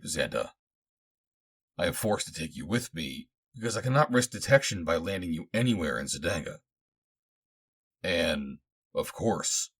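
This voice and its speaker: A man playing an honourable adventurer who speaks formally and earnestly, commanding yet gentle, in an adventure story. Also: low-pitched, slightly rough.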